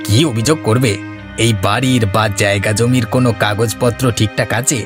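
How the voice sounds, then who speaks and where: A man's voice: medium pitch, fairly even intonation, fairly quick, over music, lightly acted. A man voicing a greedy, scheming village husband in a cartoon, bossy and insistent as he plots against neighbours. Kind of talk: cartoon voice acting.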